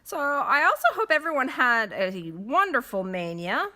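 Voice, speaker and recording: A congested woman chatting casually as she stitches in a home vlog, in an unhurried, friendly way. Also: high voice, very animated, pushed and bright. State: congested from a cold. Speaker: cross-stitch vlogger. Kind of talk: casual chat while stitching. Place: at home with windows closed.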